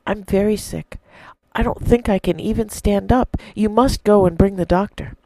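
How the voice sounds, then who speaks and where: Woman voicing a sick mother, weak, strained, gentle. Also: medium pitch, moderate pace, gravelly, dry recording, voice pushed up, low-quality recording.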